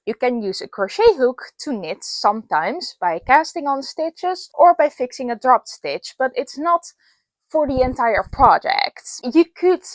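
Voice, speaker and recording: A young woman hosts a casual commentary, reading posts aloud and then explaining her view. Her voice sits a little high, and she talks in a chatty, explanatory way that jumps into emphatic bursts. The sound is dry and close, with no room around it. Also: very animated, measured pace, dry recording. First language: Dutch. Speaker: video host.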